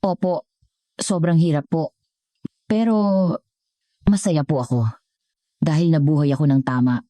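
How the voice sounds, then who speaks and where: A woman's voice: low voice, steady tone, unhurried, dark tone, no echo. A woman voicing a humbled, remorseful adult son in a dramatic story, respectful and earnest as he pleads with his mother. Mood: tearful, earnest.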